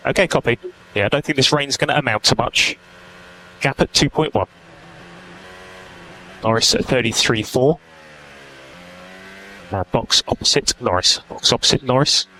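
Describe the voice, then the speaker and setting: A man's voice: medium pitch, moderate pace, long pauses, sharp tone. A man working as a race engineer, giving clipped, procedural instructions over team radio. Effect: team radio transmission. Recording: noisy team radio feed.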